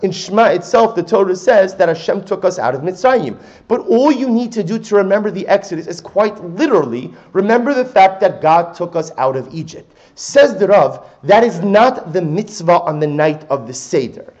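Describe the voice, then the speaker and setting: A man with a distinctly high-pitched voice lectures on religious texts. He teaches emphatically, repeating key phrases and posing questions before explaining them. The recording is a little dull and lo-fi. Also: lively intonation, moderate pace, few pauses, darkish tone. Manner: emphatic teacherly delivery with repetition and rhetorical questions. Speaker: rabbi or religious teacher giving a class. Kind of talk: religious text lecture, explaining and quoting sources.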